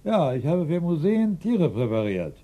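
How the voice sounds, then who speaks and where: An actor playing a gruff, curt, nostalgic older retired taxidermist in a German radio drama, dry in manner. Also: male voice, on the high side, sing-song, measured pace, highs cut off. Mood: wistful.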